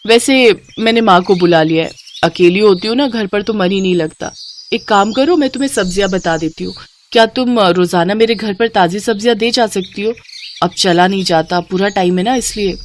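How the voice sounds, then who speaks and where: A woman's voice: mid-range voice, a little fast, a little hiss or hum, natural voice. A woman voicing a friendly, appreciative young married woman in a moral story, speaking with easy familiarity. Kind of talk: Hindi moral story character dialogue.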